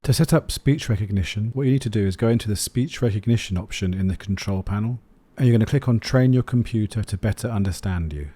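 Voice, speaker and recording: A man's voice: fairly low pitch, fast, few pauses, warm tone, no room sound, clean studio sound. A man narrating a scripted product review as the host of a video.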